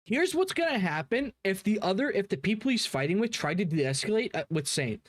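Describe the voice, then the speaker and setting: A man's voice: sits high, big pitch swings, fairly quick, no room sound. A man giving blunt, mocking, profanity-laced reaction commentary in a casual style. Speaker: reaction video host.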